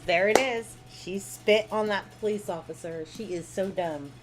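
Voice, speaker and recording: A woman's voice: mid-range voice, normal speed, poor audio. A woman co-hosting a live stream, giving blunt, opinionated commentary in a casual way. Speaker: co-host.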